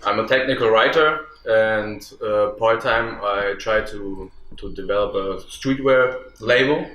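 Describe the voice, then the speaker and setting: A man raised in Bavaria, introducing himself as a panel guest in a relaxed, slightly hesitant way. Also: lowish voice, unhurried, reverberant, a little hiss or hum. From Germany, raised in Bavaria. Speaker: guest, technical writer. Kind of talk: casual chat.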